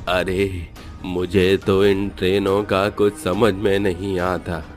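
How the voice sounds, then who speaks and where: Guy doing a confused grumbly cartoon passenger, lowish voice, faint music. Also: level tone, slow pace. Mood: grumbling. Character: baffled, grumbling train passenger. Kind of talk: cartoon acting.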